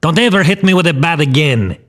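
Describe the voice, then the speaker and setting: A man voicing a brutal, bullying Italian mobster in an audio story, with a raspy accented voice and street-tough threats. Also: mid-range voice, animated, normal speed, voice pushed up, natural voice. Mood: furious.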